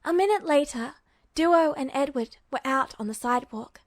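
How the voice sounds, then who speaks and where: A woman's voice: high voice, moderate pace, no room sound, clean studio sound. A woman narrating a scripted piece of fiction as an audiobook-style reader. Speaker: podfic narrator.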